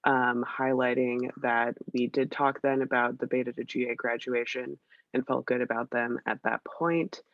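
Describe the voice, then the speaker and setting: A woman's voice: very deep voice, fairly even intonation, normal speed, dry recording. A woman with a scratchy voice from a cold, giving a chatty, hesitant update with many fillers on a video call. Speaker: meeting presenter. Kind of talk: casual work meeting update. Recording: dull, dry video-call audio.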